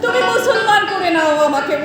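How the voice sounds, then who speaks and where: A very high-pitched young woman, devout and long wronged but bold, played by an actress in a stage audio drama. She declaims earnestly and stretches her phrases with feeling. Her voice carries from far off in a very echoey hall, with music behind. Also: animated, a little fast. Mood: tender, devoted. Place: large hall, live stage performance.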